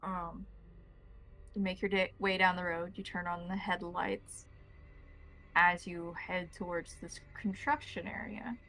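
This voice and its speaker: A woman's voice: fairly low pitch, fairly even intonation, measured pace, sharp tone, faint music behind, rough recording. A woman narrating a tabletop roleplaying game as the game master, describing scenes to players.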